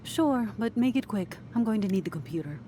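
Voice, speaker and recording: A woman's voice: mid-range voice, expressive, a little fast, warm tone, slight background noise, close to an everyday voice. An actress playing a prim, caring middle-aged woman shopkeeper in a video game, with a motherly, firm manner. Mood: guarded, firm. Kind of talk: naturalistic video game acting.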